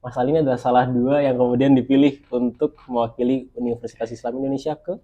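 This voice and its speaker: A young man hosting a podcast, asking friendly, lively questions. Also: medium pitch, fast, dull audio. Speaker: podcast host. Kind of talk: podcast interview.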